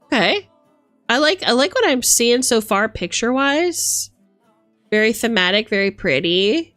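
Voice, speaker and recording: A woman with a high, very expressive voice reacts casually as a livestream host. She takes her time, leaving space between short remarks, and slips between theatrical gushing and dry asides. The sound is close and dry. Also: a little slow, no echo, soft background music. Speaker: streamer hosting a live book look-through.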